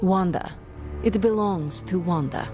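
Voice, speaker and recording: An actress plays a haughty, guarded young witch in a fantasy game. Her voice sits a bit low and her words are formal and bitter. Music plays behind her. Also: natural voice. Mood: bitter, disdainful. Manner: formal, disdainful, deliberate. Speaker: video game voice actor. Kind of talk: scripted game dialogue.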